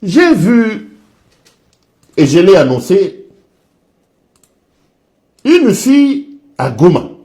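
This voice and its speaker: A man's voice: high-pitched, wildly swooping pitch, drawn-out words, pauses often, warm tone. A man giving dramatic commentary, theatrical and repeating lines for effect.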